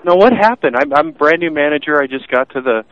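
A man's voice: fairly high pitch, expressive, fast. Stammering man on a muffled phone line. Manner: hesitant, apologetic, stammering. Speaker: restaurant manager.

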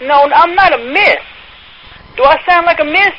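A pushy prank caller with a high voice, badgering the person on the line. He sounds mock-indignant and keeps demanding answers. The call comes through a muffled, noisy phone line. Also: moderate pace.

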